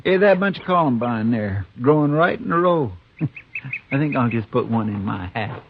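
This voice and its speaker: Folksy western sidekick. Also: male voice, mid-range voice, expressive, normal speed, gravelly. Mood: naive, easygoing. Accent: American. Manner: folksy, naive, deferential. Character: folksy, simple, good-hearted deputy sidekick to marshal. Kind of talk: 1950s radio western acting. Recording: dull, noisy old radio recording.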